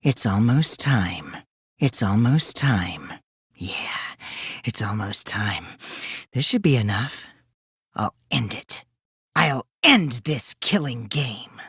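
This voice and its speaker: A childish teenage schemer from a video game, a self-styled supreme leader of evil. The voice swoops dramatically between bratty teasing and sinister taunts, unhurried and recorded close and dry. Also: male voice, mid-range voice, very animated, fairly bright tone, breathy edge, no echo, exaggerated voice. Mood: ominous, quiet. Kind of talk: English video game voice acting.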